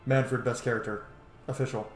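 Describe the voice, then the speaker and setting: A man's voice: mid-range voice, flat delivery, moderate pace. Casual male gamer in an echoey room. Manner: informal, sweary, thinks aloud. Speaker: gaming video host. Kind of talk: casual playthrough commentary. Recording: roomy echo, game music behind.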